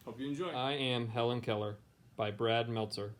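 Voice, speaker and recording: A man's voice: mid-range voice, fairly even intonation, moderate pace, a little hiss or hum. A man with an American accent, a teacher who talks informally and reads picture books aloud. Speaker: teacher and read-aloud host. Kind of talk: picture book read-aloud, informal introduction.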